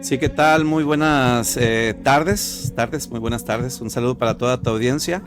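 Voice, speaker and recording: A man with a Mexican Spanish accent calls in to debate. He talks hesitantly, filling pauses with "eh" before quick bursts. His pitch sits in an ordinary middle range. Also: music in the background. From Tijuana, Mexico. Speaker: caller. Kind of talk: call-in debate.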